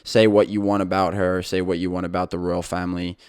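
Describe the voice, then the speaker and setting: Solo podcast host, young male, low monotone delivery, easygoing and rambling. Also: brisk, clear, resonant tone, dry recording, clean studio sound. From England. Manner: easygoing, rambling, with many fillers and restarts. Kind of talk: casual solo podcast talk.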